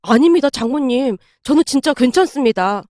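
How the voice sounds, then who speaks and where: A woman's voice: sits high, expressive, a little fast, dark tone, no room sound, clean studio sound. A woman voicing a meek, good-natured husband in a narrated family story, hesitant and deferential. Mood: polite, soothing. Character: meek, weary, good-natured husband, polite son-in-law.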